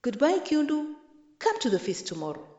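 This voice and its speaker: A woman voicing a friendly, excited village man in a storybook, giving emphatic greetings and invitations. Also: high-pitched, moderate pace, dull audio, voice pushed up. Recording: echoey, roomy sound.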